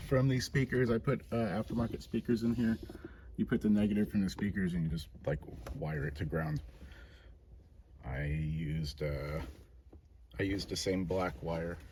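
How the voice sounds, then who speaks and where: A man in his late thirties with a fairly low voice talks through hands-on car work for a vlog. His delivery is informal and laid-back, with a self-deprecating streak, as if chatting to regular viewers. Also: background noise. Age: late 30s. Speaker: car restoration vlogger. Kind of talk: casual hands-on garage vlog narration.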